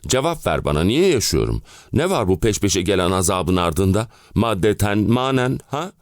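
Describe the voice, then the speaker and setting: A man's voice: on the low side, very animated, moderate pace, no echo, clean studio sound. An actor playing an exhausted middle-aged family man and clerk, complaining theatrically in an audio play. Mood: despairing. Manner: dramatic, complaining, rhetorical questions. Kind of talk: theatrical audio drama monologue.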